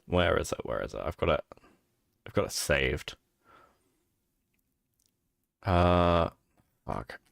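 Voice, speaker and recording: A man's voice: low-pitched, gravelly, fairly bright tone, dry recording, clean studio sound. A man hosting a stream, reacting to clips with disbelief, swearing and laughing often. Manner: incredulous and profane, laughs often. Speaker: host.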